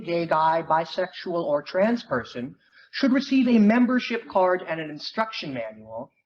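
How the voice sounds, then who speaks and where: A presenter reads out a prepared introduction in a mid-range voice. The sound is dull and somewhat muffled, with clear noise in the background. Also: medium pitch. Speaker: presenter of banned books. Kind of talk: scripted introduction read aloud. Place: live reading at a library event.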